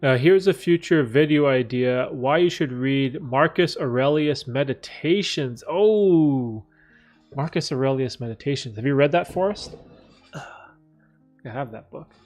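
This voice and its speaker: A man at a mid pitch talks loosely to his viewers, circling back on himself and padding his thoughts with fillers. He sits in a room with a bookcase behind him, and faint music hums under his voice. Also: a little slow, darkish tone. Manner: rambling, many fillers and restarts. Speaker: livestream host answering viewer questions. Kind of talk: casual livestream Q&A.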